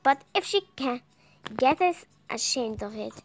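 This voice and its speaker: A young woman reads a visual novel aloud in a very high voice. Her pace is slow and halting, and she often stumbles over words. Music plays behind her. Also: lively intonation. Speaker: gameplay video creator reading aloud.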